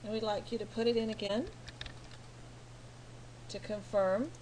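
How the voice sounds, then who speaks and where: A middle-aged American woman from California, a teacher talking slowly and patiently through each step of filling in a form online. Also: mid-range voice, little pitch movement, darkish tone, a little hiss or hum, low-quality recording. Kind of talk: screen walkthrough tutorial.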